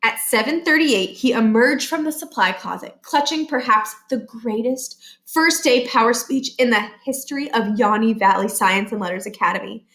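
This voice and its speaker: A woman reading a children's novel aloud as an expressive storybook narrator. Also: fairly high pitch. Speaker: storybook reader.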